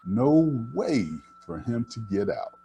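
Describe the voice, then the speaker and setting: An older man reading a children's storybook aloud, with deliberate, dramatic phrasing. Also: fairly low pitch, big pitch swings, gravelly, mellow, soft background music. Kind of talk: expressive read-aloud storytelling.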